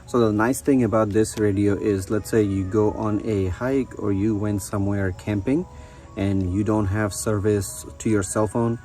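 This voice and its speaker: Low, mellow-voiced man reviewing a product. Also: fairly even intonation, moderate pace, faint music behind. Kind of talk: casual product review, demonstrating features. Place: outdoors on a residential front porch.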